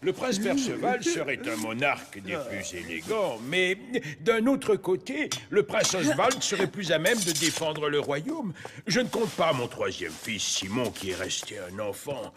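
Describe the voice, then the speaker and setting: A man's voice: a little high, very animated, a little slow, background noise. An actor playing a kindly, frail old king in a cartoon fairy tale, courteous and theatrical. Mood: courteous, fatherly. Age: older.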